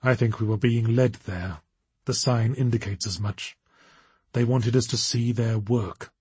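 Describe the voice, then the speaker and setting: A man voicing a cool, unflappable female occult detective in an audiobook, speaking in a measured, bored way. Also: mid-range voice, fairly even intonation, darkish tone, slightly rough, no room sound.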